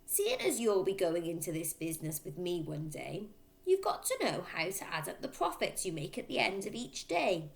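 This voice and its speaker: A woman voicing a boastful, crooked father who sells used cars, with a loud, smug, emphatic delivery, in a children's storybook reading. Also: fairly low pitch, sing-song, normal speed, creaky. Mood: bossy. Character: boastful, crooked middle-aged father, a used-car dealer.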